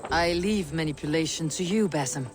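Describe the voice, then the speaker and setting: An actor playing a hard, impatient Viking war leader in a video game, terse and commanding. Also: male voice, fairly high pitch, a little fast, over music, natural voice. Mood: dry, scornful.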